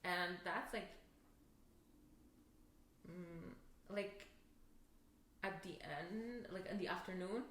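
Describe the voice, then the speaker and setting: Casual podcast chat from a young woman with a lowish voice, recorded in an echoey room. She laughs easily and talks with plenty of fillers and restarts. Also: slow, pauses often, a bit bright, a little hiss or hum. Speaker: podcast co-host.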